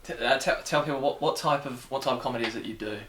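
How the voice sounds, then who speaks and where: A man's voice: medium pitch, very fast, fairly bright tone. Hesitant man host in an echoey room. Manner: hesitant, stammering. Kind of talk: casual chat. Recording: echoey room with faint noise.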